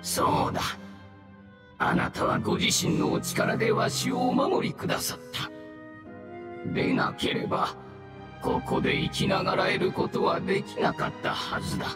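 An actor playing a dying ancient mushroom spirit in a game, weary and devoted, with a weak, halting, archaic old man's delivery. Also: high voice, fairly even intonation, reverberant, over music, lightly acted. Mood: weary, resigned. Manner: slow, archaic elderly speech, halting. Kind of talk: dramatic game dialogue acting.

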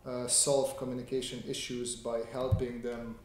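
A man's voice: medium pitch, completely flat delivery, normal speed. A man, chatting casually and informally as he hosts a drawing livestream. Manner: informal, reads viewer questions aloud and answers. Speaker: livestream host, illustrator. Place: small indoor home room. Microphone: desk microphone on improvised stand. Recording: roomy echo, faint background noise.

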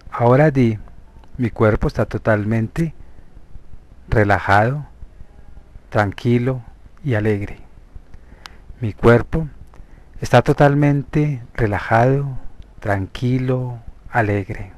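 A man with a Colombian Spanish accent leading a guided hypnosis meditation as a therapist, speaking deliberately in short, separated phrases. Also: mid-range voice, darkish tone. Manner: slow, deliberate, pausing between short phrases.

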